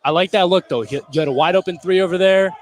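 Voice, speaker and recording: Casual, higher-pitched American male colour commentator. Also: fast. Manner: informal, slangy, opinionated. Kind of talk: casual live sports analysis. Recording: some gym noise behind live broadcast. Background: indoor basketball gym.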